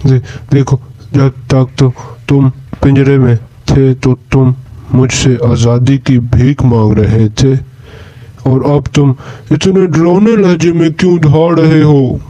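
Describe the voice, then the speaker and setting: A man's voice: mid-range voice, fairly even intonation, slow pace, mellow, lightly acted, low-quality recording. A man playing a kind but wary ordinary fellow in a children's fable, speaking earnestly. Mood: frightened.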